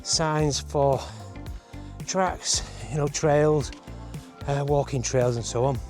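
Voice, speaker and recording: A man's voice: fairly high pitch, fairly even intonation, slow pace, a little mellow, soft background music. A man giving casual, chatty commentary with wry understatement as he hikes uphill outdoors, sounding exerted from the climb. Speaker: travel vlogger and host. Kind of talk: casual walk-and-talk hiking commentary. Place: outdoors on a forest hiking trail.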